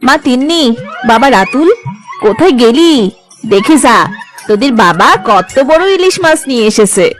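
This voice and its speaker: A woman acting village wife and daughter parts in a cartoon. The voice is high and swoops expressively, homely and eager, with music behind it. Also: lively intonation, moderate pace.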